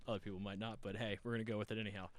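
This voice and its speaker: A man's voice: lowish voice, level tone, rapid-fire. A young man hosting a podcast, chatting casually, rambling through explanations and laughing often. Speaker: podcast host. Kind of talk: casual chat.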